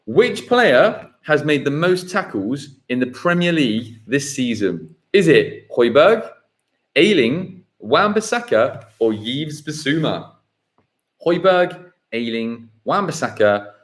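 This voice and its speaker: A man hosts a live football quiz, his pitch swinging up and down. He reads each question slowly and then repeats it. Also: sing-song. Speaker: quiz host.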